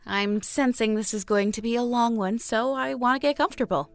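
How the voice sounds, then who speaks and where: A woman's voice: a little high, brisk, slight background noise, close to an everyday voice. An actress voicing a wife who answers her husband in a dry, sardonic way.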